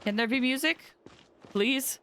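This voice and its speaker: A woman livestreaming a game, casually commenting on play and chatting to viewers with rambling, expressive asides. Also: high-pitched, measured pace, a little hiss or hum. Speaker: game streamer.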